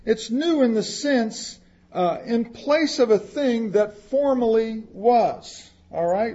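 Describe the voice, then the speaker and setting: Husky preacher guy, slow and high, reads scripture then chats it over, muffled lo-fi sound. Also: warm tone. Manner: reads scripture aloud then explains it plainly, joking aside with the congregation. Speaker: pastor. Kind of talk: sermon.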